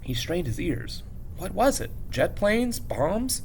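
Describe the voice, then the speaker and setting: A man reading a story aloud as a teacher would, in an expressive, measured narration with dramatic pauses. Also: high-pitched, creaky, a little hiss or hum.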